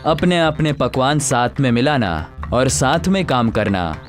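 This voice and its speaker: A man playing a kindly wandering holy man in a story, giving advice in a calm, benevolent manner. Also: medium pitch, measured pace, faint music behind, lightly acted. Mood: serene.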